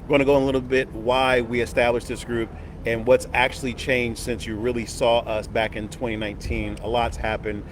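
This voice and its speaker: A man's voice: medium pitch, level tone, brisk. A man hosting a casual livestream outside a hotel, upbeat and folksy, laughing easily. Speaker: host. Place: outdoors by a hotel on a busy street. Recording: loud outdoor traffic noise.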